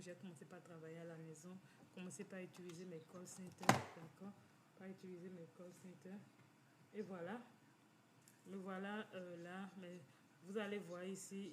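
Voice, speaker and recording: A woman telling her own story in a halting way, with many restarts and repeated phrases. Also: on the low side, slight background noise. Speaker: community finance speaker. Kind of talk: personal testimony talk. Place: living in the United States.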